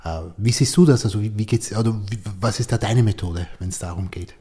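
Male podcast host, low dark husky voice, circles around his questions. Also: brisk. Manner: asks rambling, reformulated questions with many restarts. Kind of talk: interview conversation.

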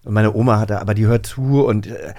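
A man in his early forties chats as a podcast host and speaks in a fairly low voice. He tells a memory slowly and thoughtfully, pausing on fillers. The sound is dry and close, as in a studio. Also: fairly even intonation, mellow, no room sound. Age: early 40s. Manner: reflective, unhurried storytelling with frequent fillers. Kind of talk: casual conversation.

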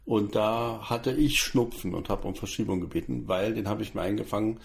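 A man co-hosts a relaxed podcast chat in a low, gravelly voice. He strings his thoughts into long, flowing sentences and drops dry jokes along the way. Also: fairly quick. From Germany. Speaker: podcast co-host. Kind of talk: casual chat.

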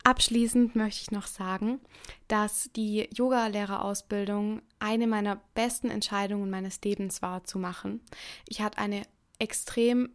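A woman's voice: mid-range voice, fairly even intonation, unhurried, dry recording. Earnest German-speaking woman, dry sound. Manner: earnest, reflective, with frequent "ähm" fillers. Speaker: podcast host. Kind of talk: casual solo podcast monologue.

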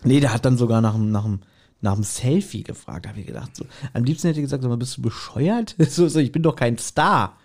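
A man's voice: medium pitch, a little fast, a little mellow. Casual, joking man chatting. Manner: jokey, colloquial, self-deprecating. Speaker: podcast co-host. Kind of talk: casual chat, anecdotes.

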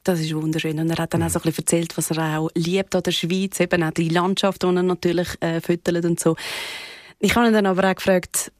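Swiss female music host, Swiss German accent, lively chat, dead dry studio sound. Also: lowish voice, brisk, no room sound. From Switzerland. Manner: lively, chatty, asks the co-host questions. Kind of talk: casual radio chat.

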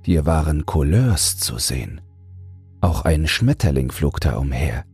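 A male audiobook narrator tells a bedtime fairy tale in a soft, very breathy voice. He takes it slowly, with expressive, moving intonation. Faint music plays behind him. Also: a bass voice.

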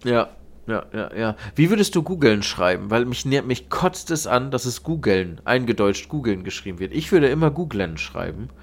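Male podcast co-host, German accent, mid-pitched, congested nose, loose colloquial storytelling with some swearing. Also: big pitch swings, normal speed. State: blocked nose from an oncoming cold. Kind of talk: casual chat and anecdotes.

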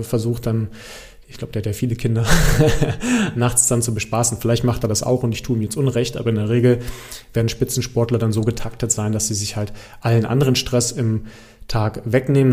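A man's voice: mid-range voice, dark tone. A man working as a host and coach, answering audience questions in an informal, explanatory way, speaking directly to the listener with frequent little check-in tags. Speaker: host, pain and movement coach.

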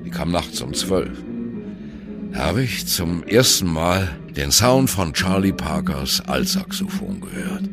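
Casting: wry old jazz veteran narrating a radio play, bottomless gravelly bass, dramatic storyteller's phrasing. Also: male voice, sing-song, full character voice. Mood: wistful, earnest. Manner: wry, dramatic, deliberate phrasing with colloquial turns. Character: ageing male jazz musician, wry, reminiscing on postwar youth. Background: music.

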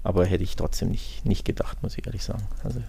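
A man's voice: sits low, fast talker, vocal fry, mellow, breathy edge. A man co-hosting a football podcast in casual discussion, talking colloquially and emphatically and often rephrasing himself.

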